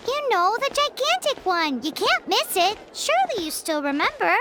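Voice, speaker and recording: A woman voicing an excitable tiny female fairy companion in a video game cutscene, squeaky and eager, asking questions and exclaiming. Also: normal speed, very noisy. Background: game soundtrack music, loud.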